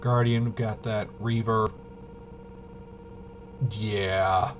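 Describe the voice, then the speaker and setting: A man with a fairly low voice talks casually through game rules as a reviewer. He is halting and mumbles as he half-reads. The recording has clear noise behind him. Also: fairly even intonation. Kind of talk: casual off-the-cuff rules walkthrough. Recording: clearly noisy home recording.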